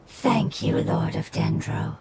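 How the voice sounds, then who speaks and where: A woman playing a compassionate elemental spirit creature in a game, speaking in a formal, measured, explanatory way. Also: sits low, vocal fry, heavy background noise, full character voice. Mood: earnest. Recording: loud game music behind.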